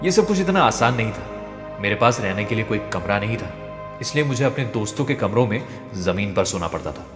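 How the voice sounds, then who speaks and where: A man reading a scripted motivational story in a measured, storytelling way. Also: medium pitch. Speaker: narrator. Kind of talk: scripted motivational narration of a translated speech. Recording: echoey, long reverb, music behind. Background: background music.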